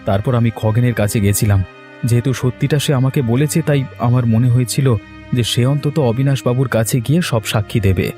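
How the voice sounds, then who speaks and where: Male actor as earnest wronged son-in-law, cartoon drama; dark mellow voice, faint music. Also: medium pitch, a little fast, lightly acted. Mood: bitter, glum. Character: honest, aggrieved young village son-in-law. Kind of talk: dramatic cartoon story dialogue.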